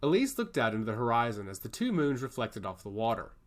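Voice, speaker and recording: Expressive male fantasy storyteller. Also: mid-range voice, fast. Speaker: audiobook narrator. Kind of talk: scripted fantasy story narration.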